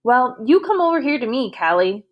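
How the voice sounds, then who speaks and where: A woman voicing a tearful, quick-tempered young girl. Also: high-pitched, big pitch swings, highs cut off, voice pushed up. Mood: pleading. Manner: pleading, tearful.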